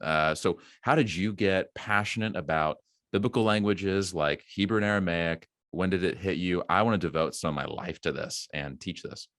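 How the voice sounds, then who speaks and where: A man's voice: low voice, normal speed, hardly any pauses, a bit bright, no echo, clean studio sound. A man hosting an interview, friendly and chatty, asking long questions and laughing often. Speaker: podcast host. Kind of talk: casual interview chat.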